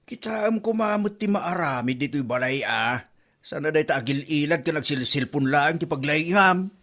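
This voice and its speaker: A man's voice: on the high side, expressive, normal speed, fairly bright tone. A man playing a scolding, blunt older father and grandfather in an Ilocano comedy drama, lecturing in a Filipino Ilocano accent. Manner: nagging, lecturing.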